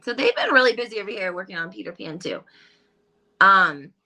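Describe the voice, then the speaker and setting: A woman hosting a casual gossip vlog, talking in a crisp, bright tone. She is breezy and chatty, reading headlines aloud and filling gaps with ums and likes. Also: mid-range voice, very animated, fairly quick, poor audio. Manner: chatty, breezy, lots of fillers. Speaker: gossip channel host.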